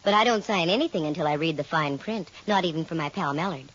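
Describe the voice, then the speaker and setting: A woman's voice: sits low, fast. A wisecracking lady detective. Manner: wisecracking, slangy. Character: wisecracking woman detective, stubborn and breezy. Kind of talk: 1940s radio acting and narration. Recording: dull old radio transcription with music.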